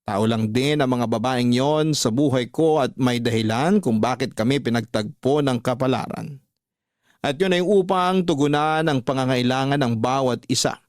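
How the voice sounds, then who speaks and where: An actor playing an unrepentant young womanizer, narrating his love story in a measured, confiding, dramatic way for a radio drama. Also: mid-range voice, no echo, clean studio sound, natural voice. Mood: confessional, unrepentant.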